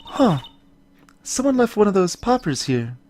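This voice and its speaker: A woman's voice: mid-range voice, very animated, a little slow, darkish tone, slight background noise. A woman voicing a perky, impulsive, childlike young female sidekick in a video game, playful and eager. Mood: playful, excited.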